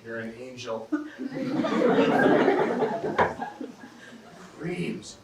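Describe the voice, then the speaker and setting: A man's voice: mid-range voice, measured pace, lightly acted. A man on stage playing a weary, practical Swiss soldier in a classic comedy, wry, polite and self-deprecating. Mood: grateful. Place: theatre stage, recorded from the audience. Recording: roomy, distant stage recording with hiss.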